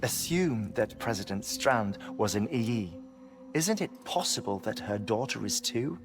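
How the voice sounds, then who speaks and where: An actor playing an earnest scientist in a game cutscene, speaking in a measured, scholarly way. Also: male voice, on the low side, expressive, over music. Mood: cautious. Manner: measured, scholarly, precise, lecturing. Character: brainy, earnest male scientist lecturing on theory. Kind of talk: video game cutscene acting. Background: game score.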